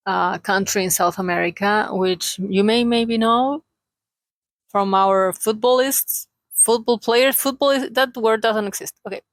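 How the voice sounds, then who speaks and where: Creaky Chilean woman chatting hesitantly. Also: medium pitch, measured pace, dry recording, studio quality. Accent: Chilean Spanish. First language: Spanish. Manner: hesitant, searching for words, self-deprecating, jokey asides. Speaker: vlogger, aspiring cartoonist and illustrator. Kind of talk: casual unscripted confessional chat.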